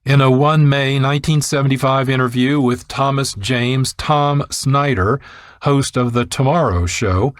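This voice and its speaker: A man's voice: medium pitch, fairly even intonation, a little slow, dry recording. A man reading scripted narration as the host of a radio program, on a close studio mic.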